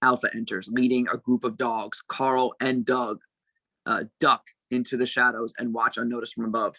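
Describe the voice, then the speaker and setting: Casting: male narrator for a script table read, average pitch, close dry sound. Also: level tone, normal speed, pushed and bright, dry recording, low-quality recording. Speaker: stage directions reader.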